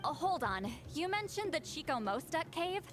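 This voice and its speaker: High-voiced, haughty young-sounding shaman. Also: sing-song, normal speed, over music. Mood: surprised, knowing. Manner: haughty, dismissive, lecturing. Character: proud, haughty centuries-old female shaman, youthful, secretly nerdy. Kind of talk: anime-style game voice acting.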